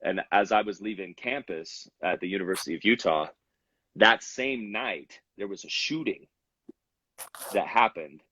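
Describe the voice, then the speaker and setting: Low-voiced man rambling about his music. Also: moderate pace, bright, forceful tone, husky, dry recording, dull audio. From Salt Lake City. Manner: rambling, many fillers and restarts. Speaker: rapper, interview guest. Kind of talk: casual interview chat.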